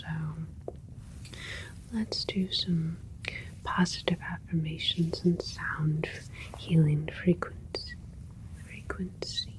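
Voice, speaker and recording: A woman plays a gentle alien scientist in an ASMR roleplay. The voice is a low-pitched whisper, drawn out very slowly and with care. The manner is soothing yet clinical, every word placed deliberately. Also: poor audio. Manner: slow, soothing, deliberate, precise wording.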